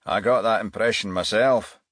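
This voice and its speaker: A man's voice: lowish voice, normal speed, fairly bright tone, no room sound, studio quality, voice pushed up. A man voicing a blunt, derisive male police sidekick in a crime audiobook, colloquial in manner. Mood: sceptical.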